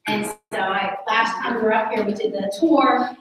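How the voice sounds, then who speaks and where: A woman's voice: a little high, steady tone, moderate pace, faint music behind. A woman from central Pennsylvania shyly telling a family story, laughing and rambling with filler words. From central Pennsylvania, USA. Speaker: alumna guest. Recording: echoey room, mic far off.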